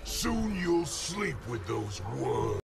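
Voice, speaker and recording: An actor playing a scornful, menacing sorcerer-emperor in a fighting game, with a gravelly, theatrical, taunting delivery. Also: male voice, medium pitch, very animated, drawn-out words, heavily acted. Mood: scornful menace. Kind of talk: fighting game character acting. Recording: distant voice under loud music.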